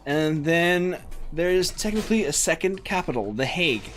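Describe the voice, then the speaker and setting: Chatty Dutch man with lively pitch. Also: normal speed. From Netherlands. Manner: rambling, many fillers, talking through puzzles aloud. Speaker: streamer. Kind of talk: casual let's-play chat, reading chat and game text aloud.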